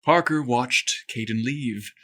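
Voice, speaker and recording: A man narrating a scripted story in a lively, expressive way. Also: mid-range voice, takes it slowly.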